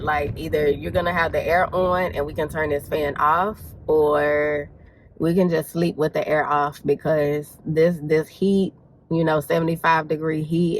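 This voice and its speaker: A woman in her twenties with a stuffy, congested voice, chatting casually to her vlog audience. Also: deep voice. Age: 20s. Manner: chatty, rambling, says y'all. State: congested with a cold. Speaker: vlogger. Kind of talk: casual vlog talk to camera. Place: in a car on the way to errands.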